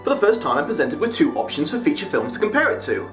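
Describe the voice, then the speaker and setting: A man's voice: a little high, big pitch swings, fast talker, over music. A young man hosting a scripted comedic review, sarcastic and prone to bursts of mock outrage. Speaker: video essay host.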